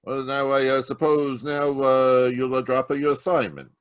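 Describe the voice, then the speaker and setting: An actor playing a halting, uneasy judge in old-time radio drama. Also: male voice, medium pitch, steady tone, clear, resonant tone, natural voice. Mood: uneasy, hesitant. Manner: halting, hesitant. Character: hesitant, uneasy Italian male judge with secrets. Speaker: actor in a radio mystery performance. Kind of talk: 1940s radio drama acting. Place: home, live video call performance.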